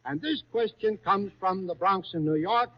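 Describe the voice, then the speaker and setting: A man's voice: sits high, moderate pace. A man hosting an old radio quiz, coaxing contestants along with repeated questions and exclamations. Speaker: quiz show host. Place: radio studio before an audience. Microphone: stage broadcast microphone. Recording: muffled, lo-fi 1939 radio broadcast.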